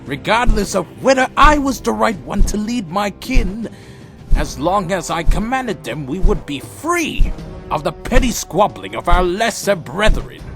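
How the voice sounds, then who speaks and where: A man playing a brooding, defiant vampire clan leader in a fantasy audio drama, speaking with slow grandeur. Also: sits high, big pitch swings, full character voice. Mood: solemn resolve. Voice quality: gravelly. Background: music.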